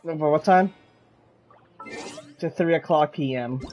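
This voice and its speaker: A man's voice: fairly high pitch, a little slow, lo-fi sound, faint music behind. A man giving casual commentary on a game playthrough, informal, with wry asides. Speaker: gaming video host.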